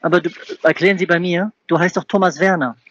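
A man's voice: high-pitched, fairly even intonation, fast, a bit bright. A man on a phone call, mocking the caller and repeating the same question over and over. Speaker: prank caller baiting scam callers. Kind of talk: confrontational phone prank chat.

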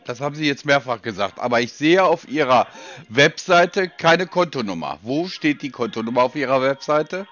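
A man's voice: mid-range voice, animated, normal speed, fairly bright tone. A man questioning a caller on the phone in a pedantic, sceptical way, often cutting in. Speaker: customer on a sales call. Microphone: phone call. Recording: dull, lo-fi phone call audio.

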